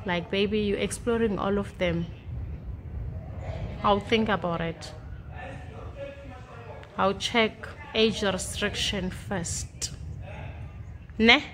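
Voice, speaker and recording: A woman's voice: mid-range voice, fairly even intonation, slow, long pauses, fairly bright tone. Chatty mother vlogging on her phone. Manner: chatty, teasing, often laughing, addresses viewers as guys. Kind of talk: casual vlog chat to camera. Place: home bedroom, indoors. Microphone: phone at arm's length.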